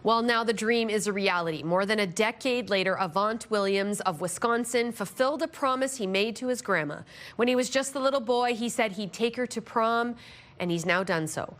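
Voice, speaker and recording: A woman's voice: medium pitch, moderate pace, a bit bright. A woman reading scripted local news as the anchor.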